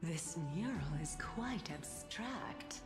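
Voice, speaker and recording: A woman's voice: fairly low pitch, lively intonation, takes it slowly. A woman playing an otherworldly soul in a video game, elegant, curious and teasing, with formal, theatrical phrasing. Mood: musing, amused. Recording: echoey, long reverb over loud music.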